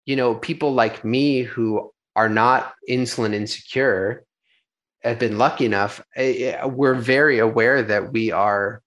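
A man's voice: medium pitch, steady tone, measured pace, fairly bright tone. A young adult man, an actor, chatting casually in an interview, laughing easily and restarting his sentences. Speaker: actor and filmmaker guest.

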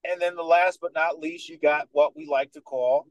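A man in his thirties with a Dominican American accent, speaking as a podcast guest in a confident, animated way. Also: on the high side, normal speed, dry recording, lo-fi sound. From United States, New York. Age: 30s. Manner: confident, animated storyteller who acts out other people's lines. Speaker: guest, sports radio host. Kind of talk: casual podcast interview.

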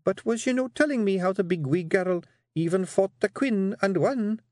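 Male reader as young Scottish fairy matriarch: high, sweetly pointed, firm, bossy, storybook fantasy. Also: normal speed, a little mellow, dry recording, studio quality, natural voice. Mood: firm, resolute. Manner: deceptively meek and sweet, pointed, controlled. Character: quietly dangerous young fairy queen, firm bossy wife. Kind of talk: audiobook character voice.

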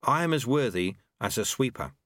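A man's voice: mid-range voice, animated, moderate pace, a bit bright, no echo, clean studio sound, natural voice. A man voicing an earnest, stubborn young male monk apprentice. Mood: firm earnest. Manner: earnest, indignant, firm.

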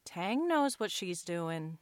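A woman playing a calm, steely heroine in a western radio drama, speaking in a measured way. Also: on the low side, no room sound, clean studio sound. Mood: calm, assured. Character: calm, steely slight young heroine with a shotgun.

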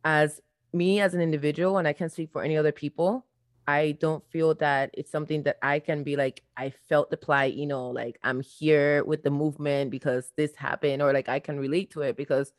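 A woman's voice: sits low, fairly even intonation, a little fast, no echo, clean studio sound. A young woman with a light Latin American accent, born abroad, chatting casually as a podcast co-host and rambling with lots of fillers and restarts. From born outside the US. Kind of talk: casual chat.

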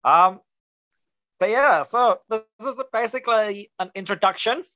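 A man's voice: high-pitched, unhurried, pushed and bright, no echo. A young man from Singapore with a mixed Singaporean and New Zealand accent, co-hosting a casual podcast chat in a laid-back, joking way full of bro slang. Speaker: podcast co-host.

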